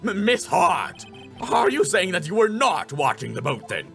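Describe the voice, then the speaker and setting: An older, ice-cold prosecutor from a video game. He is gravelly and slow, bearing down with contemptuous commands over background music. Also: high-pitched, huge pitch swings, fairly bright tone, pitched above the performer's own voice, heavily acted. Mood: commanding. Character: stern, domineering, cold male prosecutor, older.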